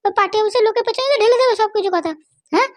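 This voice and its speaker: A man voicing a bossy, short-tempered middle-aged cartoon wife with a West Bengal accent and a pitched-up voice. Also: racing, no room sound, studio quality. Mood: scolding. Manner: scolding, threatening. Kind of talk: comic cartoon dialogue.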